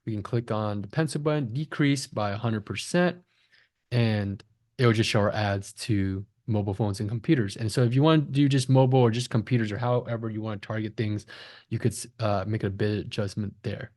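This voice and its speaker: A man's voice: fairly low pitch, fairly quick, darkish tone. A man giving a conversational step-by-step screen-share tutorial as an instructor, often checking in with short tags. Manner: conversational, frequent check-in tags like "right?" and "okay?". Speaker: online marketing instructor, agency founder.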